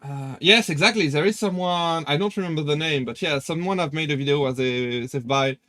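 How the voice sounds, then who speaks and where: A man's voice: fairly high pitch, a little fast, bright, forceful tone, dry recording, clean studio sound. A young man with a French accent chatting casually on a live stream, hesitant with many fillers and restarts. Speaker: VTuber streamer.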